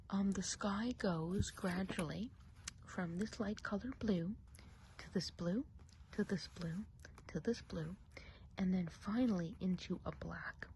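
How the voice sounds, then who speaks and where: A woman playing a friendly, gossipy witch of the woods in a soft, breathy ASMR roleplay, often whispering and musing gently. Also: on the low side, slight background noise. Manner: chatty, gentle, unhurried musing.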